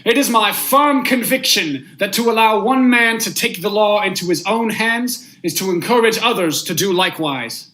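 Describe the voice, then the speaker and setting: A man's voice: high-pitched, animated, normal speed, hardly any pauses, sharp tone. A young man acting a stern judge and narrator in a vintage radio drama, speaking formally and with measure. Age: young adult. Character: stern, unmoved male judge, husband and brooding narrator.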